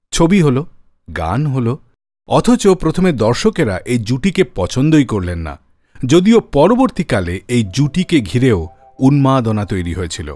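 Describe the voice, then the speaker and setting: Male radio presenter for scripted storytelling, expressive swooping delivery, mid-pitched voice, dry recording. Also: no echo. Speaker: radio show host.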